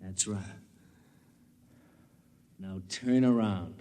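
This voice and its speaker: Cold smug villain, menacing, long reverb, 1950s radio play. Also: male voice, lowish voice, very slow, dark tone. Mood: smug menace. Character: smug, scheming male villain holding a gun. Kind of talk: 1950s radio drama acting.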